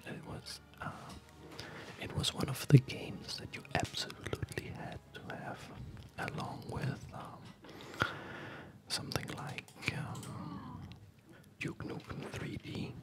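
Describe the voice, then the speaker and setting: A man in his thirties whispers softly and breathily in an ASMR gaming commentary, speaking gently with hesitant pauses. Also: very high-pitched. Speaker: ASMR video creator. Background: music.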